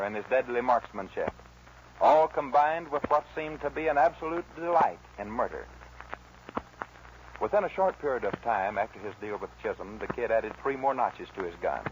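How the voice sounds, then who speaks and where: A man with an American accent reading scripted narration for a 1940s radio western. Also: mid-range voice. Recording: dull, hissy old radio recording.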